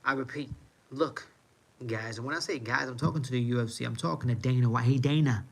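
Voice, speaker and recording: A man's voice: mid-range voice, a little fast, creaky, rough recording. A man from New York City with an American accent, ranting casually on a livestream in an emphatic, repetitive way. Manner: emphatic, repeats himself for effect. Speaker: live streamer.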